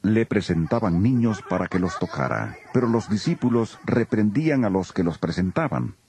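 A man narrating a dramatized scripture reading as an expressive storyteller. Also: fairly low pitch, normal speed, slightly rough.

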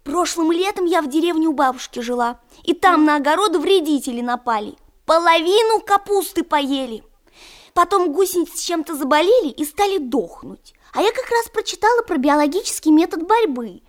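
A woman's voice: extremely high pitch, expressive, brisk. A woman playing an earnest, studious little schoolgirl in a children's radio play, explaining things confidently and matter-of-factly. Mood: earnest, proud.

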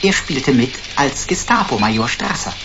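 An actor playing a detective's erudite, flowery sidekick in a sci-fi radio drama, mock-formal and long-winded. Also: male voice, medium pitch, lively intonation, normal speed, muffled recording, background noise, natural voice. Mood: wry explaining.

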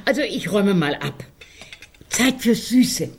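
An actress playing a gentle, fussy, placating older woman in a German radio drama. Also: mid-range voice, expressive, a little slow, slight background noise. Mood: fussing. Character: gentle, fussing older woman, loyal housemate and carer.

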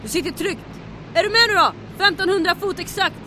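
A woman acting a bold, commanding, unflappable aeroplane captain in an adventure radio drama. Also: sharp tone. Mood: brisk, commanding. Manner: brisk, commanding, confident. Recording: aircraft engine rumble.

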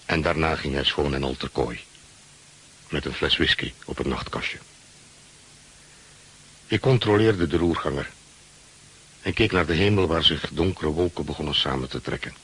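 A man's voice: extremely low pitch, fairly bright tone, husky, heavily acted, rough recording. An actor playing a brooding, terse ship's first mate who narrates his story in a Dutch radio play. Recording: hissy old radio recording.

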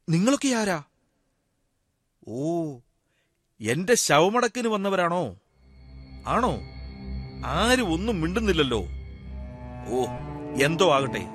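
A bemused dead man, husky. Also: high voice, big pitch swings, no room sound, studio quality, faint music behind, exaggerated voice. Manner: wondering, slow, addresses the crowd. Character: newly dead man, bemused at his own funeral. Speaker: actor in a one-man radio play.

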